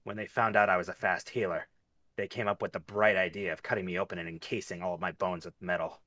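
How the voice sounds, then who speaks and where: A man reading the part of a gruff, guarded mutant fighter in a terse, dry way at a table read. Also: sits low, steady tone, quick pace, pushed and bright. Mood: gruff, matter-of-fact. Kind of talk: informal script table read.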